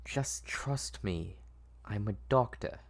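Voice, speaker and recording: A man playing a manipulative mad doctor with a coaxing, drawn-out, falsely soothing manner in an audio story. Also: on the low side, gravelly, heavily acted.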